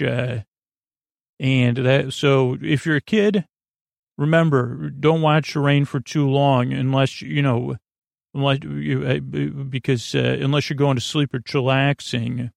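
A man with a creaky voice hosting a podcast, rambling in a halting, gently goofy bedtime monologue meant to help people drift off to sleep. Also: medium pitch, steady tone, no echo, clean studio sound. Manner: meandering, halting, often trailing off and repeating words. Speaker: podcast host.

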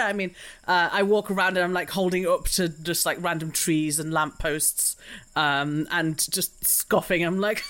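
A woman playing a confident, eccentric paranormal scientist, dismissive and animated, in a tabletop game. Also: mid-range voice, close to an everyday voice. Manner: animated, dismissive, sprinkles in French.

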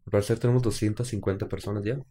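A man's voice: lowish voice, steady tone, racing, warm tone. A man with a Mexican accent co-hosting a casual live stream, joking with his partner and then narrating a true-crime case. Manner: joking, laughs often, uses slang. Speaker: podcast co-host.

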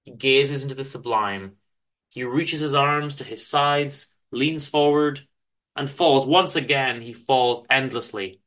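A man reads literary fiction aloud in a dramatic style. His voice is mid-pitched, with a sharp, bright edge. He places emphasis deliberately, using theatrical pauses. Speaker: author reading own story aloud. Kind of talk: dramatic literary story reading.

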